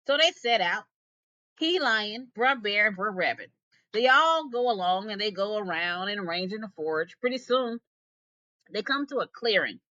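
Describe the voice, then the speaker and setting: A woman reading a folktale aloud as an expressive storyteller. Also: mid-range voice, normal speed, dry recording, dull audio.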